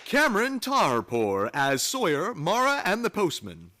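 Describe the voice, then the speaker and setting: A man's voice: high voice, huge pitch swings, measured pace, bright, forceful tone, a faint crowd, a little hiss or hum. A man reading scripted credits as a radio announcer, recorded live in a theater. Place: theater stage, live performance.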